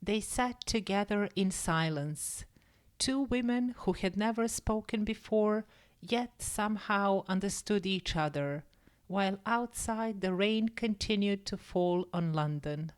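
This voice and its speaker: A woman reads as a teacher would for language learners. Her pace is slow and her pitch sits in the middle for a woman. She explains carefully, in a measured, scripted narration style. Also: clean tone. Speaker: English teacher and story reader. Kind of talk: scripted story narration and vocabulary lesson.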